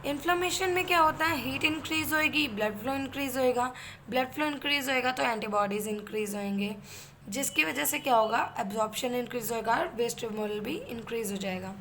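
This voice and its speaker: A woman's voice: a little high, brisk, fairly bright tone, a little hiss or hum. A woman with an Indian accent giving an explanatory lecture as an instructor, going step by step and answering her own questions. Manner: step-by-step teaching, posing questions and answering them. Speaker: physiotherapy instructor. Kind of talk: explanatory lecture mixing Hindi and English.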